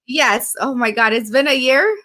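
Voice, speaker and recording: A woman's voice: high-pitched, normal speed, a loud crowd. Chatty woman podcast host. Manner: chatty, laughs easily. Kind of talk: casual interview chat.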